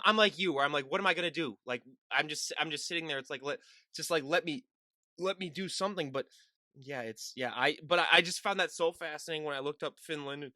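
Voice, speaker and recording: A man's voice: fairly high pitch, sharp tone, dry recording, studio quality. A young man from Minnesota hosting a podcast, chatting casually and rambling with many restarts. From Minnesota, USA. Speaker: podcast host. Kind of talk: casual interview chat.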